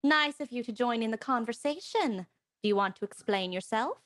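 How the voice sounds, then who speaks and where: An actress playing a bold, flirtatious house guest in a period mystery radio play, with a teasing, theatrical manner. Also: female voice, fairly high pitch, animated, moderate pace, a bit bright. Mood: pointed.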